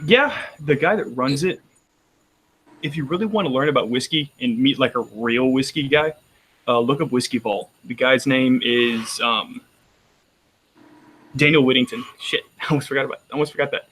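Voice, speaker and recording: A man's voice: mid-range voice, normal speed, a bit bright, slightly rough. A man with a Texan accent chatting casually on a roundtable, rambling with frequent restarts. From Texas. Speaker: roundtable panelist. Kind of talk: casual chat.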